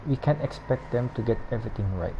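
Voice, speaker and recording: A gaming streamer giving casual, low-key, somewhat hesitant gameplay commentary. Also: fast talker, lo-fi sound. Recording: clearly noisy, ambient background.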